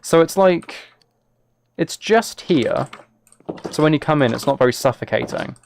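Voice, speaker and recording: Gravelly man thinking aloud on a stream. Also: on the high side, expressive, unhurried, slight background noise. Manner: thinks aloud, chatting with viewers. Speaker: live streamer and host. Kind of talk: casual live stream chat while playing a game.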